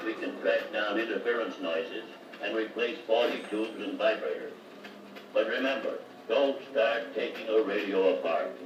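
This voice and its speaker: A man's voice: lowish voice, lightly acted. An actor playing a folksy, confident American car mechanic with plain working-man speech in a 1950s training filmstrip. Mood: proud. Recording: muffled, echoey 1950 record, distant, music behind.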